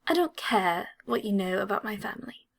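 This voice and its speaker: A woman's voice: medium pitch, expressive, brisk, gravelly. A woman voicing a clever, aloof schoolboy in an audiobook, cool, dry and matter-of-fact. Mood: firm, defiant.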